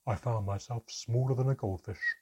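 A man voicing a tiny, proud female mermaid with a deep, strong voice and a sarcastic, sneering, bitter manner. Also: moderate pace, slightly rough, natural voice. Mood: haughty, dismissive.

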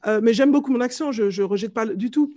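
Lively woman with a light Martinican accent. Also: on the high side, quick pace. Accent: light Martinican French. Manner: animated storyteller, mimics voices and sounds. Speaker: vocal coach guest. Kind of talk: casual interview chat.

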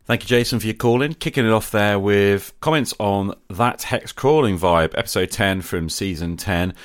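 A man hosts a podcast, talking directly to his listeners. His voice sits low, and the recording is dry and close, with very little room in it. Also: moderate pace, no room sound. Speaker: podcast host.

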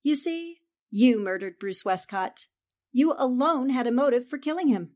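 A woman's voice: sits high, moderate pace, darkish tone, close to an everyday voice. A woman playing a shrewd, confident newspaper reporter with poised, theatrical delivery in a 1940s-style radio drama. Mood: cool accusation. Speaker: voice actor.

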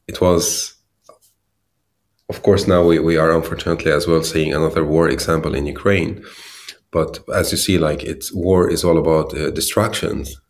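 A man from Syria, a startup founder in his thirties, answering interview questions with many pauses and restarts. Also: very deep voice. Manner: hesitant, many fillers and restarts.

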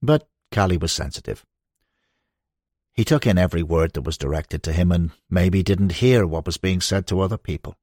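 A man's voice: sits low, normal speed, darkish tone, dry recording, clean studio sound. A man reading scripted narration as an audiobook narrator, moving between prose and quoted recollections.

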